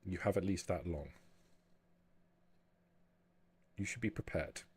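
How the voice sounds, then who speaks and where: Man playing a low, husky, guarded vampire woman. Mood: grave, guarded. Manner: measured, formal, deliberate diction. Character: formal, guarded vampire woman, quietly plotting defection.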